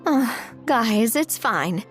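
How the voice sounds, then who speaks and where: Young game gal, high n lively, casual friendly ally, music behind her. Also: extremely slow, heavily acted. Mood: reassuring, easygoing. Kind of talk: animated video game voice acting. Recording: music playing under the voice.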